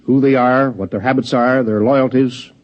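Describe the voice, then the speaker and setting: A man's voice: mid-range voice, steady tone, close to an everyday voice. Man playing officious security man, muffled old radio. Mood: earnest, officious. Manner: formal, deliberate, bureaucratic. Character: earnest, officious male government security interrogator. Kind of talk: 1950s radio drama acting.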